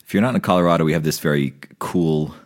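A man with a very low, deep voice talks in a relaxed podcast chat. He laughs easily and keeps the conversation friendly. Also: fast. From New Mexico. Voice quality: naturally deep voice. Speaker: podcast co-host. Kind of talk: casual chat.

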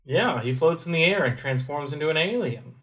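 A young man co-hosting a podcast, talking about a game in a relaxed, thoughtful way with wry humor. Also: a little high, a little fast. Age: young adult. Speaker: podcast co-host. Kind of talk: casual game discussion.

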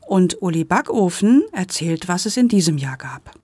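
A woman's voice: lowish voice, sing-song, normal speed, darkish tone, breathy edge, dry recording. A woman presenting a podcast report in a narrating style.

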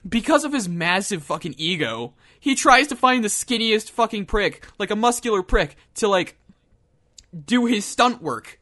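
A man in casual, joking podcast chat, telling stories with an animated, laughing delivery. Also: high voice, normal speed.

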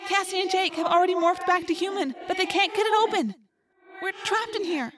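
A woman voicing a brave young girl who is trapped, hushed, urgent and grimly resigned, in a story. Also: very high-pitched, brisk, far from the mic, over a noisy crowd, pitched above the performer's own voice. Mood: urgent, pleading.

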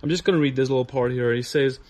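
Earnest minister talking to camera. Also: male voice, medium pitch, fairly even intonation, fast, a little mellow. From South Africa. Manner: earnest, repeats words often when searching for them. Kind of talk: casual video talk to viewers.

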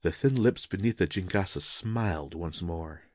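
Low-voiced man narrating dramatic fiction. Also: normal speed, darkish tone, no room sound, studio quality. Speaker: story narrator.

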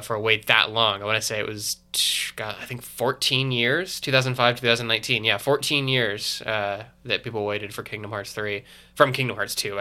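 A man with a congested, stuffy voice, chatting casually as a podcast guest in a thoughtful, measured way. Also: mid-range voice, bright, forceful tone. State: congested from allergies.

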